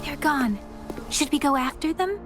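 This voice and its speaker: Woman playing an earnest high-voiced young heroine. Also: normal speed, lightly acted. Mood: concerned, apologetic. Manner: earnest, concerned. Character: earnest, protective young female warrior companion. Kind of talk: video game voice acting. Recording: music behind the voice.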